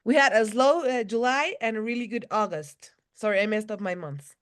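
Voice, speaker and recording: A woman's voice: fairly high pitch, fairly quick. A woman hosting a roundtable, giving brief, friendly answers and wrapping up the call. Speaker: roundtable host. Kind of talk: casual video call roundtable.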